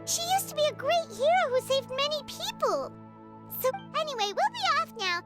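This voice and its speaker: Game sidekick: tiny chirpy female fairy, extremely high, animated delivery, excitable and eager. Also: normal speed. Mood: chirpy, earnest. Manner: refers to self in third person, eager, exclamatory. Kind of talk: video game character acting. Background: playful game music.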